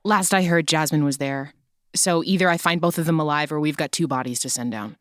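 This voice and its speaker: A woman's voice: deep voice, brisk, dry recording, studio quality, close to an everyday voice. A woman playing a blunt, sweary, determined government invigilator in an urgent crisis, in an audio drama. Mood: blunt, exasperated. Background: music.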